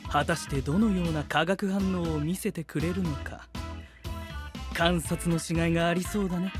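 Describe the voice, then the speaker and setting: Man playing a deadpan, coolly logical young knight. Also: fairly high pitch, normal speed, over music, lightly acted. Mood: detached. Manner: stiff, analytical, deadpan phrasing. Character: coolly logical, cynical young fantasy knight, scientific observer. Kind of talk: anime audio drama acting.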